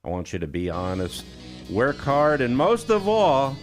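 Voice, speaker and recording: A man's voice: mid-range voice, wildly swooping pitch, measured pace, over music. A man hosting a radio show from a studio, chatting with enthusiasm and addressing listeners in an emphatic way. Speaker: radio host. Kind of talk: enthusiastic interview chat.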